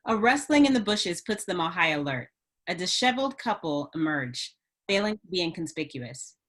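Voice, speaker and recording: Female narrator for screenplay table read, somewhat low voice, scripted scene descriptions. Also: very animated, normal speed, a bit bright. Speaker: stage-directions narrator.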